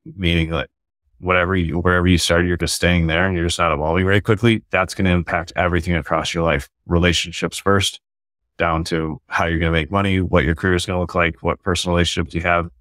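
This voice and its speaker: Guy guesting on a podcast, low voice, earnest long-winded explaining, dry video call sound. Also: little pitch movement, fast, a bit bright, dry recording. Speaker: guest author.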